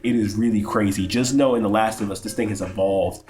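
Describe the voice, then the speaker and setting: A man's voice: on the low side, steady tone, fairly quick, a little mellow. A young man with a cold, sniffling as he gives a casual, rambling, profane game review with lots of stammered restarts. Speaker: gaming YouTuber.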